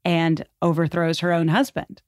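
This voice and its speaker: A woman's voice: lowish voice, monotone, moderate pace, no room sound. Woman storyteller, close dry recording. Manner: talkative, emphatic, rambling. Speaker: podcast guest. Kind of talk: animated conversational history storytelling.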